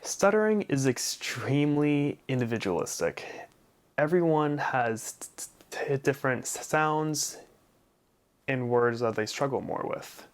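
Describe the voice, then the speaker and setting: Young man, stutter, repeated initial sounds, slow pace, medium pitch, deliberate smooth phrasing, personal explainer. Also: expressive. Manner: deliberate, smoothly prolonged speech between stutters. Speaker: vlogger sharing personal experience. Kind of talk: explanatory personal talk to camera.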